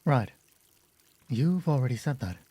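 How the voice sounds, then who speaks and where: A man playing a polite marriage counselor in an audio drama, measured, probing and placating. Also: medium pitch, lively intonation, dark tone, husky, no echo. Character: calm, polite male marriage counselor doctor.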